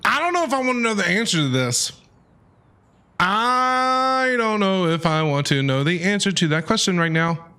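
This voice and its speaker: A man streaming live game reactions in an excitable, rambling, repetitive way, talking to his chat. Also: sits high, normal speed, smooth.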